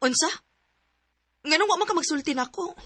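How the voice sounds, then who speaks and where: A woman's voice: high-pitched, animated, a little fast, heavy background noise. An actress playing an anxious young woman in a strained romance, with an emotive, pleading delivery and a Cebuano Filipino accent, in a radio drama. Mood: upset.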